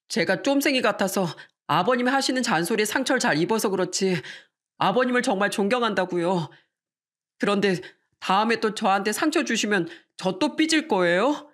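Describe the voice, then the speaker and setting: A woman's voice: mid-range voice, lightly acted. A woman voicing a sensitive, devoted adult son-in-law in a story, speaking politely and effusively. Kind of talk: scripted story dialogue.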